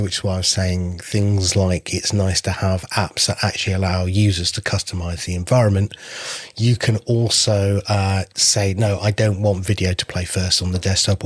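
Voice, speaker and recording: Low-voiced man explaining app features. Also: dry recording. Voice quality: slightly husky. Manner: explains product features step by step in a relaxed, chatty way. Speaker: podcast app founder and co-host. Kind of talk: casual podcast chat.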